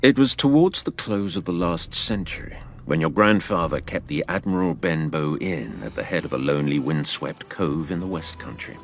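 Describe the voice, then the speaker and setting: An actor playing a fond, wry middle-aged father who tells a tale in a measured, expressive way in an audio drama. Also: low voice, soft background music. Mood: reflective storytelling. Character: fond, wry father, storytelling former innkeeper's son.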